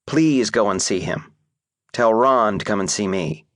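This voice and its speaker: Figure: a man doing a brisk, scolding nurse. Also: lowish voice, animated, a bit bright, no echo, clean studio sound. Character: brisk, sharp-tongued hospital nurse, woman.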